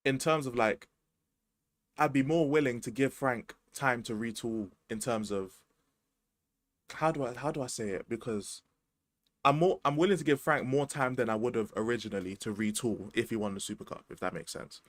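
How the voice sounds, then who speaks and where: A man's voice: mid-range voice, normal speed. A man, chatting casually about football as a podcast host, slangy and rambling. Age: 20s.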